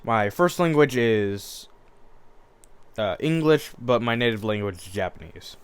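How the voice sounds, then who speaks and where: A man's voice: mid-range voice, expressive, unhurried, clean tone, natural voice. A man voicing a polite teenage boy from a wealthy family, earnestly explaining himself and correcting his words as he goes.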